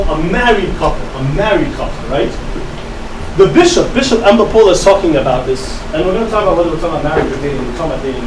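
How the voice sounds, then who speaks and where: A man born and raised in the US gives a casual talk to a live audience, speaking conversationally and tagging his lines with a rhetorical "right?". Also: a little high. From United States. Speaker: youth speaker. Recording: echoey room recording from a distance with air-conditioning hum.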